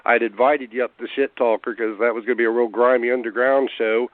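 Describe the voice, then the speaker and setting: A hoarse man talking in a laid-back, rambling way, as a guest on a call-in interview. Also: mid-range voice, level tone, a little fast, no echo. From Cincinnati area. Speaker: guest rapper. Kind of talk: casual interview over phone. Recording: tinny phone line, dry.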